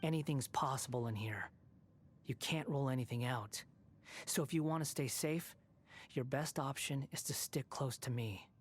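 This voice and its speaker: A man's voice: mid-range voice, normal speed, slight background noise, close to an everyday voice. Video game role: man as sardonic young drifter, medium register, clipped, superior and detached. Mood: smug, condescending. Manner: curt, condescending, cool. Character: smug, aloof young male wanderer with a sardonic temper. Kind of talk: animated video game voice acting. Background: music.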